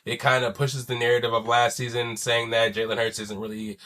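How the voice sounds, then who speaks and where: A man's voice: mid-range voice, little pitch movement, brisk, pushed and bright. A young man hosting a casual solo sports podcast, loose and slangy, sounding wheezy and stuffy from a chest cold. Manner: loose and conversational, swears, slangy asides. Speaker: podcast host.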